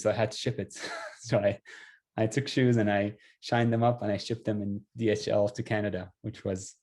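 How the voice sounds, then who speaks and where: A man's voice: on the low side, darkish tone. A young man from Syria, telling his personal story in a casual, conversational, self-effacing way. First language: Arabic.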